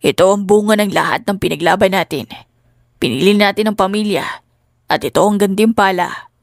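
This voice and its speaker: A woman's voice: mid-range voice, brisk, no room sound, clean studio sound, lightly acted. A woman voicing an affectionate, devoted husband and father, tender and reassuring, in an audiobook drama. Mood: loving.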